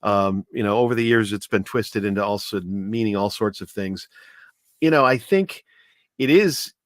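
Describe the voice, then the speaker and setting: Male guest commentator, fairly low pitch, earnest and careful explaining, halting phrasing, dry recording. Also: no echo. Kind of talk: conversational discussion.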